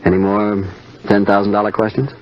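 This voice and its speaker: An actor playing a wry, matter-of-fact police sergeant in vintage radio drama style. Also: male voice, fairly low pitch, monotone, fairly quick, loud music behind the voice, close to an everyday voice. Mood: dry, assured. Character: wry, self-assured police sergeant giving instructions. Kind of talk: 1940s-50s radio drama acting. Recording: dull old radio recording, faint noise.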